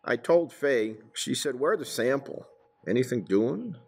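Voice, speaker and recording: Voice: mid-range voice, expressive, unhurried, breathy edge. An actor playing a folksy, earnest married police detective chatting with a partner in a radio drama. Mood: earnest, advising. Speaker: supporting actor. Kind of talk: old-time radio police drama dialogue.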